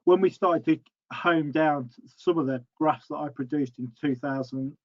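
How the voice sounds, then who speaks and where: A man advising on livestock in an online panel, slightly higher-pitched and stumbling through his points. The call audio is dry and a bit dull. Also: normal speed, dry recording. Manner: stumbling, restarts sentences. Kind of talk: panel discussion.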